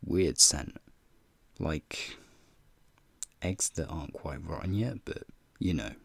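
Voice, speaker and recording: A man voicing a flirty, teasing male werewolf in an ASMR roleplay, with a deep, gravelly, husky voice and folksy slang. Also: sing-song, slow pace, no room sound, heavily acted. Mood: ominous, hushed. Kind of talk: ASMR roleplay, speaking to the listener.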